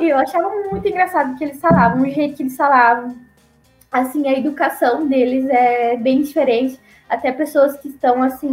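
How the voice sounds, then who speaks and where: A young woman from Brazil, chatting casually and laughing often as she tells stories, acting out the people she quotes in a higher voice. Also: roomy sound, low-quality recording. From Paraná, southern Brazil. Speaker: guest, former missionary. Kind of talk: casual conversational storytelling.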